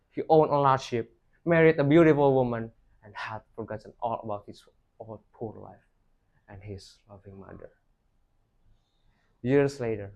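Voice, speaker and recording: A man's voice: mid-range voice, rough recording. A young man tells a rehearsed folktale dramatically, in emphatic, declaimed phrases. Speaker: student storyteller.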